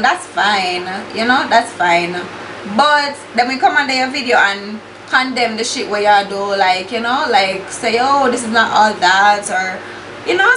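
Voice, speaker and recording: A young Jamaican woman with a Jamaican accent, chatting bluntly while doing her makeup, swearing freely and slipping into patois. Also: on the high side. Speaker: beauty YouTuber. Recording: echoey room with steady background hiss.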